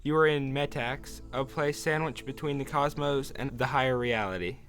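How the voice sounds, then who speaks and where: A man playing a calm otherworldly guide of the dead in an audio drama, measured, cryptic and probing. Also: medium pitch, soft background music, natural voice. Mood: calm, explanatory.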